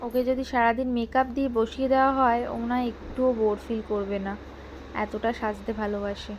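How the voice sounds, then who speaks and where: A woman's voice: fairly high pitch, flat delivery, brisk, background noise. A woman narrating her everyday life in a casual vlog style, with music behind her. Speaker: vlogger narrating her day. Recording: music under the voice. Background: background music.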